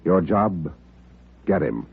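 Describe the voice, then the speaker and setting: A man's voice: deep voice, sing-song, slow, creaky. A man giving a dramatic 1950s radio introduction, grave, clipped and portentous. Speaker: radio show announcer. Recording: muffled old radio recording, loud brass music.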